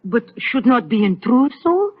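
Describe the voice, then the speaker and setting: Muffled old radio soap, fussy motherly housekeeper, high swoopy voice, fretting away. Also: sing-song, a little slow, slightly rough, exaggerated voice. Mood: fussy, coaxing. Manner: broken English, fretful, doting. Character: fussy, motherly foreign housekeeper Hannah, sentimental and bossy.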